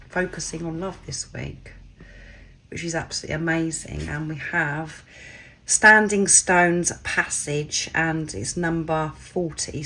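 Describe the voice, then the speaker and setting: A woman with a croaky, hoarse voice from a cold, gently reading tarot cards and addressing listeners warmly as souls. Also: low voice, poor audio. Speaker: tarot card reader. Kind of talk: spiritual card reading to camera.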